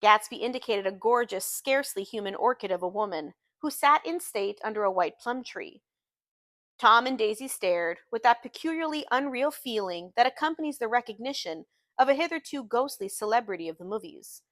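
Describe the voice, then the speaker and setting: A woman's voice: a little high, expressive, brisk, fairly bright tone, no echo, clean studio sound. A woman reading a literary novel aloud in the manner of an audiobook narration.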